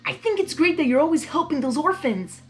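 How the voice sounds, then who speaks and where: A woman's voice: high voice, sing-song, brisk, faint music behind, pitched above the performer's own voice. A woman voicing a young male dragon in a storybook reading, lovesick, sulky and eager, with an earnest, whiny way of talking. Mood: perked up.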